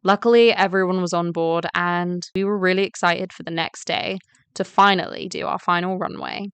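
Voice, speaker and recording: A woman's voice: lowish voice, fairly even intonation, moderate pace, fairly bright tone, smooth, dry recording. A woman playing a teenage fashion-school girl, narrating her story in scripted first person. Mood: earnest, eager.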